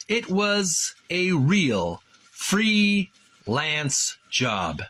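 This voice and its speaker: A man presenting and reading aloud as a video host, in a deliberate, emphatic way with broken phrasing. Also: on the high side, a bit bright, slight background noise, rough recording. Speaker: host of a video series on retro game art. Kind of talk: casual presenting and slow, halting read-aloud from a book.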